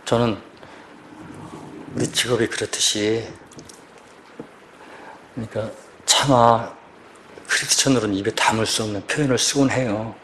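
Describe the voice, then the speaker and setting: Casting man, 50s, veteran police officer, low voice, quiet halting testimony before a congregation, faint background hiss. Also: steady tone. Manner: quiet, halting, searching for words. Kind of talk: personal church testimony asking for prayer.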